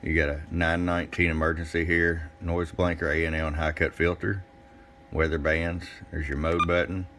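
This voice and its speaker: Very deep-voiced man, casual demo. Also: little pitch movement, normal speed, noisy background. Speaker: radio shop technician and presenter. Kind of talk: casual hands-on product demo, off the cuff. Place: indoors at a radio workbench.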